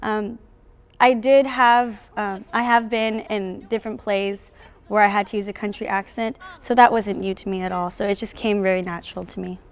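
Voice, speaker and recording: A woman's voice: mid-range voice, fairly even intonation, moderate pace, smooth. A teenage female student actor explaining things casually in an interview. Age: teens, high school student.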